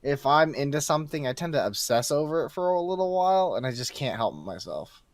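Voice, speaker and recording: A man's voice: on the high side, big pitch swings, fairly quick, no room sound. A young man speaking as a podcast guest, chatting casually and giving his opinions.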